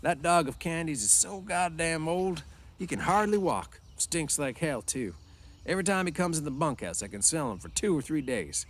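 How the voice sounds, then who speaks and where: A man reading aloud, voicing Carlson, a big, blunt, rough-spoken male ranch hand with a folksy drawl. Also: a little high, expressive, moderate pace, voice pushed up. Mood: blunt, loud.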